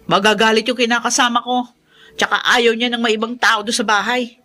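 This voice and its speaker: An actress playing a callous, sharp-tongued middle-aged mother in a Filipino radio drama, scolding and dismissive. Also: fairly high pitch, level tone, a little fast. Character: callous, sharp-tongued mother who spurns her children.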